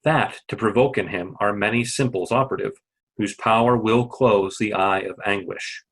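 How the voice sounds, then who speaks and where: A man playing a calm, reassuring court doctor in an amateur Shakespeare reading. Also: lowish voice, normal speed, rough recording. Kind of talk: amateur Shakespeare reader's theatre.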